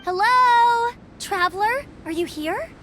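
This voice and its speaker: A woman's voice: extremely high pitch, animated, slow, sharp tone, a little hiss or hum, heavily acted. A woman playing a perky, friendly, eager young adventurer in a video game. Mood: cheerful, brisk. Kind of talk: video game story dialogue.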